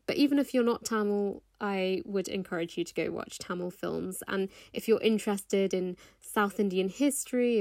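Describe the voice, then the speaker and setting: A woman's voice: medium pitch, darkish tone, no room sound, studio quality. A British woman speaking as a podcast guest, reflecting in a thoughtful, articulate way. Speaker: author and actor, podcast guest. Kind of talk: reflective spoken commentary.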